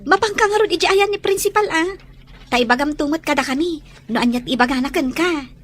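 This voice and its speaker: Woman playing a high-voiced, inquisitive schoolgirl. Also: a little fast, fairly bright tone. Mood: curious concern. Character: teasing, curious schoolgirl among close friends. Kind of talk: radio drama acting.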